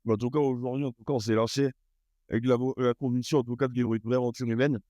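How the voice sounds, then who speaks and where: A startup co-founder speaking casually about his team's values, quick and full of repeated phrases. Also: mid-range voice, fairly even intonation, warm tone, no echo, clean studio sound. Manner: quick, repeats "en tout cas". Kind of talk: casual pitch at a table.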